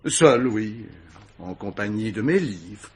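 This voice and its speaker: An actor playing an urbane, witty, self-absorbed older gentleman of leisure in a radio mystery, speaking with mannered, ironic asides. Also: lowish voice, animated, slow pace, breathy edge, full character voice. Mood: breezy, wry. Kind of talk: vintage French radio mystery acting.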